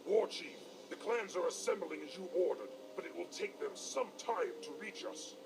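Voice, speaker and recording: A dutiful orc grunt who reports to his chief in a fairly high voice. A clear hiss runs under the captured game audio. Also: expressive, moderate pace. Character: loyal orc warrior, dutiful male grunt.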